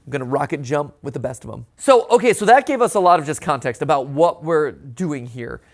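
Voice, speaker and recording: Higher-voiced man giving casual reaction commentary. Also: fairly quick. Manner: animated, conversational, often ends points with "right?". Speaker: reaction show host.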